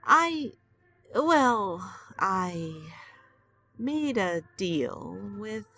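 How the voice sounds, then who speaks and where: A woman's voice: mid-range voice, very animated, exaggerated voice. An elderly, lonely grandmother. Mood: troubled. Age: older. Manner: gentle, slow, earnest. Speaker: wife. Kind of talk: audio drama acting.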